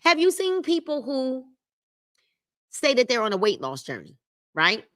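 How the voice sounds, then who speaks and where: Bright, lively woman leading a book club. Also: high voice, unhurried. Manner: emphatic, repeats key phrases and speaks to the chat. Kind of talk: reading a self-help book aloud with commentary on a live stream. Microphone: livestream mic.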